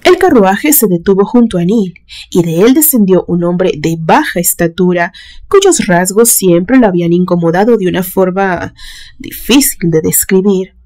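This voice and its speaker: A woman reading a literary suspense story aloud in a measured, dramatic storytelling manner. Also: fairly low pitch, animated, dark tone. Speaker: narrator reading a horror story.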